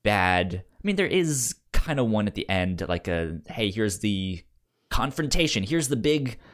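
A man with a low-pitched voice talks casually as a podcast host. His delivery is halting, with stammered syllables and repeated words in a loose, conversational flow. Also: sing-song.

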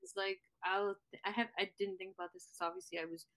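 A woman's voice: medium pitch, little pitch movement, fast talker, a bit bright. A young woman from the United States, a podcast guest chatting casually and leaning heavily on fillers. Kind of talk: casual interview chat.

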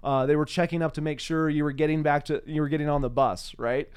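A man with a slightly high, smooth and clear voice hosts a casual interview. He is chatty and informal, talking as if to someone he knows, and laughs easily. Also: steady tone, fast, a little mellow. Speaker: podcast host and interviewer.

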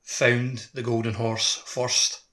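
A man's voice: medium pitch, flat delivery, slow pace, sharp tone. A man co-hosting a casual podcast, telling rambling stories full of "like". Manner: rambling storytelling, lots of "like". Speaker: podcast co-host.

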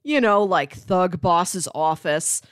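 A woman's voice: on the low side, animated, slow, clean tone, dry recording. A woman co-hosting a podcast, chatting informally about a film and acting out bits of dialogue as she retells scenes. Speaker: podcast co-host. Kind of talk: casual film discussion.

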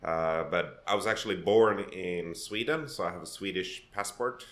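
A man's voice: low-pitched, moderate pace, a bit bright, roomy sound. A man raised in Finland, a podcast guest talking through his story thoughtfully, with restarts and fillers. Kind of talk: conversational interview.

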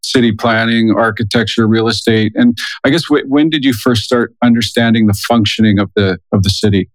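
A man's voice: on the low side, fairly even intonation, brisk, no echo, low-quality recording. A man hosting a podcast, introducing a guest and asking interview questions.

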